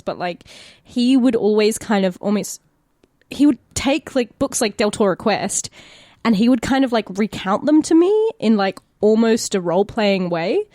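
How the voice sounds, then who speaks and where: A woman's voice: medium pitch, darkish tone, dry recording, studio quality. A young adult woman with an Australian accent in casual podcast conversation, thinking aloud in loose, informal phrasing. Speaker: podcast co-host, actor.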